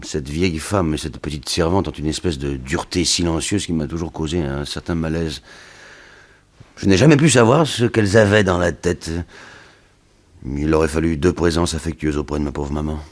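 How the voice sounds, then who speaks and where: An actor playing a weary, plaintive son of a wealthy family in a 1960s radio detective drama. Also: male voice, very deep voice, normal speed. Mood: sorrowful. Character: grieving son of a poisoned rich woman, weary, plaintive.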